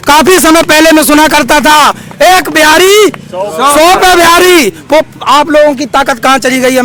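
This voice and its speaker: A man's voice: very high voice, a bit bright. A man from Uttar Pradesh, a Buddhist monk making an earnest, impassioned appeal to the public, exhorting and repeating his phrases. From Uttar Pradesh, India.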